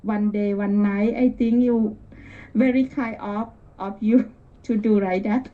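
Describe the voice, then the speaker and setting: Slow, hesitant woman on a dull call. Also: mid-range voice, fairly even intonation, a little hiss or hum. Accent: non-native English. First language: not English. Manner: hesitant, searching for words. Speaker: caller, air traffic controller. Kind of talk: casual conversation in learner English. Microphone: internet video call.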